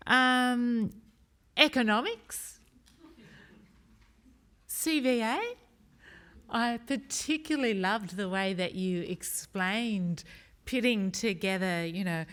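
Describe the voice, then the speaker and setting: A woman's voice: medium pitch, fairly bright tone. A woman moderating a panel discussion, talking in an enthusiastic, chatty way with frequent fillers.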